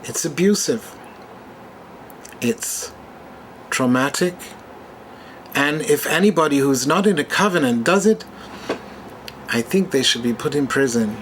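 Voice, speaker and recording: A man's voice: medium pitch, lively intonation, a little slow, long pauses, slight background noise. A man, an Orthodox rabbi interviewed in a documentary film clip, speaking in blunt, short statements.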